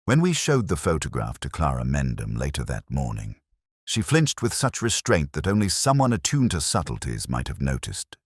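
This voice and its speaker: Male narrator for literary detective fiction: extremely low pitch, lively intonation, measured and reflective delivery, close dry sound. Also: breathy edge, no room sound. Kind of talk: scripted literary mystery narration in first person.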